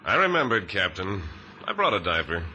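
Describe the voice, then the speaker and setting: An actor playing a mocking, menacing gangster villain with theatrical, flowery slang in a 1950s radio drama. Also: male voice, deep voice, very animated, normal speed, bright, forceful tone, heavily acted. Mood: taunting. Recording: noisy vintage radio recording.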